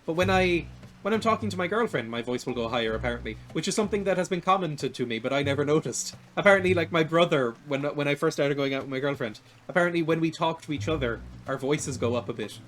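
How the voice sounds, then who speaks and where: A young man in his twenties with a light, naturally high voice, rambling and laughing at himself while he streams a video game. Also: animated, fast, a bit bright, a little hiss or hum. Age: 20s. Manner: rambling and self-deprecating, laughs often. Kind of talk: casual chat while playing a video game.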